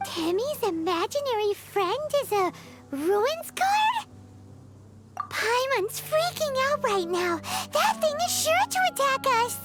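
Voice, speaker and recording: A woman voicing a small, chatty, excitable female companion creature in a video game, who refers to herself in the third person. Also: very high voice, takes it slowly, over music, heavily acted. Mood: alarmed. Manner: excitable, easily alarmed.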